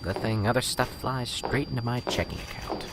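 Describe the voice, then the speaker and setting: A man's voice: medium pitch, steady tone, normal speed, very noisy, close to an everyday voice. A man voicing a chatty, wisecracking police lab technician in an amateur radio drama. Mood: wry.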